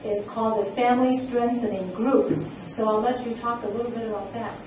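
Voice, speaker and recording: A woman's voice: a little high, steady tone, brisk, darkish tone, a little hiss or hum. A woman presenting at a conference, speaking earnestly with frequent fillers. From immigrant. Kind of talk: conference talk. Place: conference room. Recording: echoey room, mic from far off.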